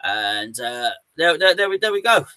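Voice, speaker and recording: Chatty football fan host. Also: male voice, fairly high pitch, big pitch swings, normal speed, sharp tone, no room sound. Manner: chatty, fillers and humming while reading. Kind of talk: casual football chat reading comments.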